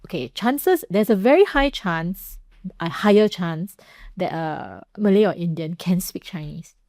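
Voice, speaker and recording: A young Singaporean woman with a Singaporean accent chats easily as a podcast host, filling her stories with "like" and "you know." Her voice sits slightly low. The sound is dry and close, with no room echo. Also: lively intonation, a little slow, darkish tone. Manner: chatty, storytelling, frequent "like" and "you know". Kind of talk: casual conversational interview.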